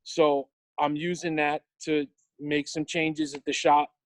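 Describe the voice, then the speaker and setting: A man's voice: a little high, level tone, slow, no room sound, clean studio sound. A man in his forties with a New York accent, chatting as a podcast guest in a rambling way, full of fillers and casual swearing. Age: 40s. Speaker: guest, tattoo shop owner.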